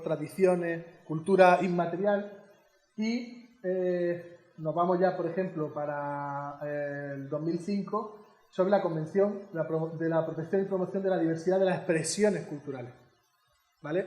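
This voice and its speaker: A man's voice: high-pitched, a little mellow, roomy sound. A man giving an informal lecture as an educator, chatty and teacherly, often asking his audience questions. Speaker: educator giving a heritage talk.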